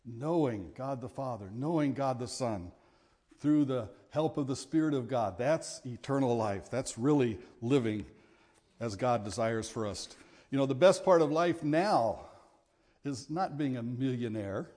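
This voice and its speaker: A middle-aged man with a big voice preaching a sermon, earnest and at times forceful in his exhortations. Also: medium pitch, expressive, unhurried.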